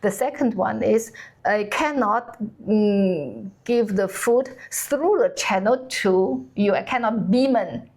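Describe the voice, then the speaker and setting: Co-host, female, native Chinese speaker, mid-pitched, stop-start delivery as she hunts for words. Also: darkish tone. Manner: halting, searching for words in English. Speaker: cook and channel co-host. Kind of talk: casual conversation.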